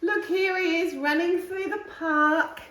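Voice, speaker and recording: A woman hosting storytime, reading a picture book aloud in an expressive way, stretching key words for young listeners. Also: very high voice, a little slow. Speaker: storytime host reading a picture book to viewers and her dog. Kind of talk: animated children's story reading. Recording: roomy echo, distant mic.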